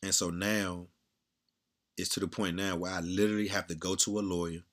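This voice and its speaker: A man talking bluntly and candidly in a casual monologue, with a strong, loud voice, repeating himself and swearing freely. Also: sits low, level tone, brisk.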